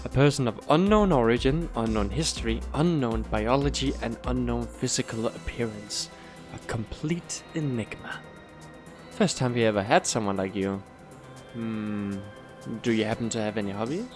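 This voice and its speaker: A man playing a polite, amused school interviewer in an audio drama, courteous and measured. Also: mid-range voice, soft background music, lightly acted. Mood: bemused. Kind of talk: audio drama character acting.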